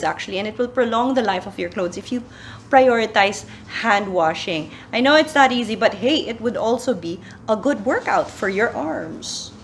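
Chatty Filipino woman giving home tips. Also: fairly high pitch. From Philippines. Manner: chatty, switches into Tagalog phrases, animated gestures. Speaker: sustainability vlogger. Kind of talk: casual tips to camera. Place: small plain room indoors.

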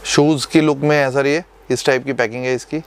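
A man in his thirties, a shopkeeper politely pitching perfumes and prices, calling the host sir. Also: normal speed, slight background noise. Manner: polite, calls the host sir, steady salesman. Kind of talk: sales pitch. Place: indoor perfume shop.

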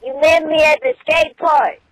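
A man's voice: very high-pitched, fairly even intonation, unhurried, no room sound. A man making a prank phone call, voicing an eager, whiny teenage skater boy. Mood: eager, insistent.

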